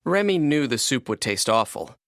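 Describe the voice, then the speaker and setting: Animated male storybook narrator. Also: medium pitch, moderate pace, no echo, studio quality. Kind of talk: scripted children's read-along narration.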